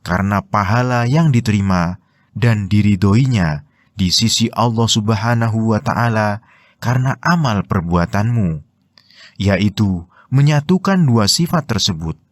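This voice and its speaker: Slow Indonesian man narrating, very dry sound. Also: on the low side, lively intonation, dry recording. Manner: measured, explanatory reading. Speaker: narrator of Quran translation and commentary. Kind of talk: scripted religious narration.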